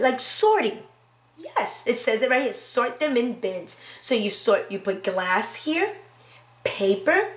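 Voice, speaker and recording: A woman in her thirties teaching and reading to young children in an animated, simple, questioning way. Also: fairly high pitch. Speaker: preschool teacher. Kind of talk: lesson and read-aloud for young children.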